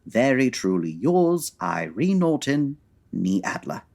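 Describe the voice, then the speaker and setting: A man's voice: medium pitch, very animated, slow, creaky, darkish tone, full character voice. A man playing a brilliant, proud detective in old-time radio drama style, formal and articulate. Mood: reading, chagrined.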